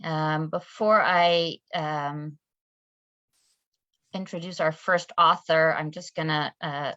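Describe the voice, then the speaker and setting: A woman's voice: low voice, steady tone, slow pace, pushed and bright, dry recording. A woman hosting an online author event on a video call, speaking in a friendly way with many fillers. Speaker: event host. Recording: dull, dry video call audio.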